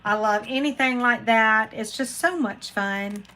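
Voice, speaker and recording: A woman's voice: fairly high pitch, measured pace, sharp tone. A woman, hosting a casual live chat and rambling to viewers by name. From Florida, USA. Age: 40s. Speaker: craft channel host reading live chat.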